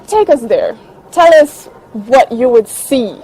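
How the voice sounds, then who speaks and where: Woman interviewer with a brightish voice. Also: fairly high pitch, very animated, takes it slowly, a little hiss or hum, rough recording. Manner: brief, prompting questions. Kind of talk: casual interview.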